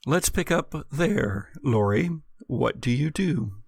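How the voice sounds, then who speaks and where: An American man working as game master, narrating a gothic tabletop game in a measured, dramatic storyteller's delivery. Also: medium pitch, expressive, creaky, slightly rough, dry recording, studio quality.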